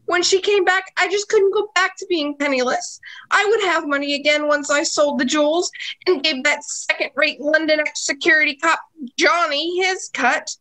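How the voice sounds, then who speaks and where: Actress as jealous lady thief in a radio drama, super high sharp voice, very melodramatic. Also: exaggerated voice, low-quality recording. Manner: melodramatic, emphatic. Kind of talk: radio play acting.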